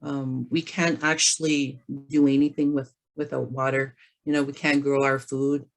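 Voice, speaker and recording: Low-voiced hoarse woman presenting carefully. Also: level tone. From James Bay area, northern Canada. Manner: careful, hesitant, many ums and pauses. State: hoarse from laryngitis. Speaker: guest presenter. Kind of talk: webinar presentation. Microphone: video call.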